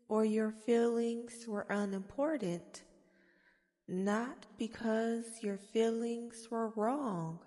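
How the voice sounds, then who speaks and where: A woman guiding a meditation in a soft-spoken ASMR style, with soothing, gentle, deliberate phrasing. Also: mid-range voice. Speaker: guided meditation host. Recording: long reverberant echo.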